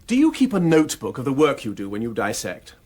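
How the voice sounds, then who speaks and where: An actor playing a patient gentleman who asks polite, leading questions in a radio drama. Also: mid-range voice, huge pitch swings, quick pace. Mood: patient, probing. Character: patient, probing gentleman questioner testing a memory.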